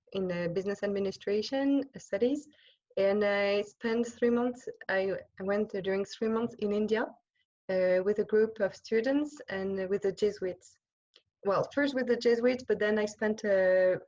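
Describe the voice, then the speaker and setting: A French-accented woman, a religious sister from France whose first language is French, giving an informal talk to an online group on a video call and often pausing to search for words. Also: medium pitch, darkish tone, dull audio. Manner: hesitant, searching for words, many pauses and restarts. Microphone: computer microphone on a video call.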